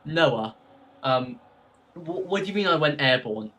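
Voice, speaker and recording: A man's voice: a little high, lively intonation, measured pace, pushed and bright. A man co-commentating a sim race, joking and laughing through casual banter. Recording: distant mic with faint noise.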